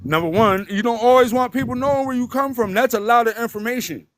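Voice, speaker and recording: A man's voice: very high-pitched, quick pace, no room sound, clean studio sound. A man, a guest on a live stream, talking bluntly and emphatically, swearing and tagging his points with "right?". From Detroit, United States. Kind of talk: casual live-stream conversation.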